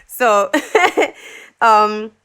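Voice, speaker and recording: A woman's voice: on the high side, extremely slow, clear, resonant tone. A young adult woman from Dominica, a singer-songwriter guest telling her story in a casual interview and acting out what others said. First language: English. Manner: animated, acts out quoted voices.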